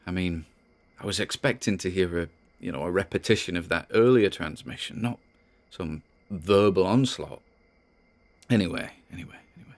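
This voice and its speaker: A spooked, rambling man records his private audio log. His voice sits low and he speaks haltingly, nervously circling his thoughts as in a dramatic audio drama monologue. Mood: unnerved, urgent.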